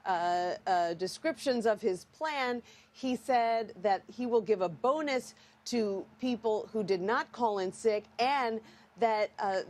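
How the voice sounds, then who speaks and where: A woman delivering a live TV news report in a measured, explanatory way with halting hesitations. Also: mid-range voice.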